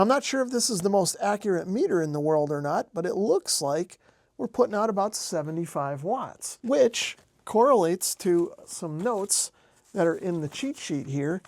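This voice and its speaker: High-pitched American man, close dry sound. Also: darkish tone, no echo. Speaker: host, amateur radio hobbyist. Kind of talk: casual hands-on demonstration narration.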